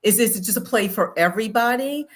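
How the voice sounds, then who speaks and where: A woman's voice: fairly high pitch, brisk. A woman co-hosting an interview, putting questions to a guest in a conversational style. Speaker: interviewer, co-host.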